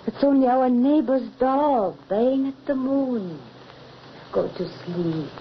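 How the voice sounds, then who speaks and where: An actress playing a gentle, motherly wife in an old-time radio drama, on a muffled, hissy recording. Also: high voice, lively intonation, slow pace. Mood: soothing. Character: gentle motherly wife comforting a frightened child.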